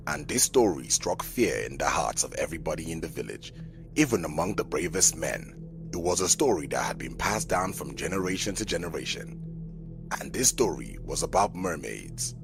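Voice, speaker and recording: A man narrating a scripted audio drama in a measured, dramatic storybook manner. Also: deep voice, gravelly. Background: music.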